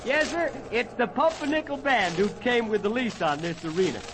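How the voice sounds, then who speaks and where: A man's voice: very high voice, lively intonation, moderate pace. A man with an American accent announcing an old-time radio show in folksy, theatrical cowboy slang. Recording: noisy old radio recording.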